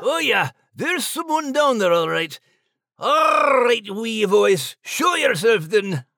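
A man's voice: very high-pitched, very animated, slow pace, creaky, pushed and bright, dry recording, studio quality, heavily acted. A man voicing a playful host character who slips into a mock-pompous 'old chap' manner. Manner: goofy, with mock British 'I say, old chap'.

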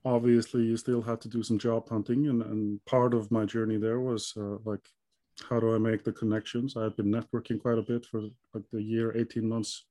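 A man from Iceland in his thirties talks through his story in an even, level tone. He often stops with ums and starts over. His voice sounds soft and mellow, and the sound is dry and close. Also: lowish voice, fairly quick, no room sound. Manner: hesitant, many ums and restarts. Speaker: guest. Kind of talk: conversational interview answers.

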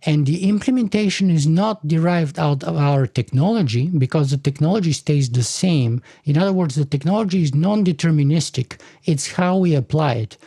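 A man's voice: a little high, moderate pace, hardly any pauses, mellow, no echo. Man lecturing in a dry room. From Bulgaria. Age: 40s. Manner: argumentative, lecturing, with restarts. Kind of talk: conversational podcast discussion.

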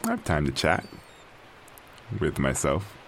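A man's voice: rumbling low, wildly swooping pitch, measured pace, slight background noise, full character voice. An actor playing an exasperated, wry stranded man talking to himself as he records a journal in an audio drama. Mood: resigned, wry.

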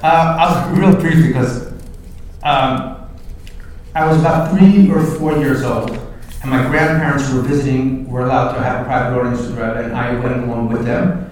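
A man's voice: mid-range voice, steady tone, normal speed, darkish tone, slight background noise. A man whose first language is Hebrew tells personal stories in a live interview. He speaks hesitantly, often stopping to restart a sentence. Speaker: co-host and Chabad emissary. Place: live recording before an audience. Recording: echoey room from far off.

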